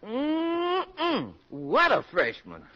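An actress playing a sassy, blunt household cook with a folksy drawl in a 1940s radio comedy. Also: female voice, sits high, wildly swooping pitch, very slow, bright, forceful tone, full character voice. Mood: sassy, amused. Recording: muffled old radio, echoey hall, faint noise.

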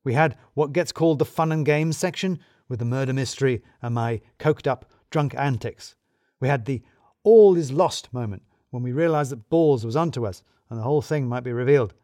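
A man's voice: medium pitch, normal speed, dark tone, no room sound, clean studio sound. A man narrating a scripted first-person mystery story in a wry, arch, wordy storyteller's manner.

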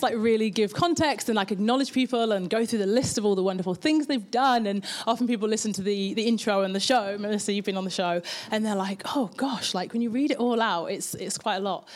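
A woman who hosts a live podcast panel. She introduces the guests warmly and at length and uses many fillers such as like and you know. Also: medium pitch. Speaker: podcast host. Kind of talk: hosting a live panel recording. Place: indoor event space before a live audience.

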